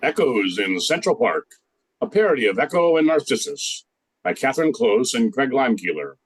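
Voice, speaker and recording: An older man playing the narrator of a radio play, setting out the scene in a measured scripted style. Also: mid-range voice, sing-song, slightly rough, low-quality recording. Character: measured male storyteller narrator.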